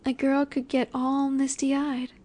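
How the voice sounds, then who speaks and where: A woman acting a high-pitched, teasing alpha she-wolf. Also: completely flat delivery, dark tone. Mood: intrigued, seductive. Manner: teasing, sultry, unhurried with drawn-out pauses. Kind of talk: roleplay audio acting addressed to the listener. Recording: bar chatter in the background.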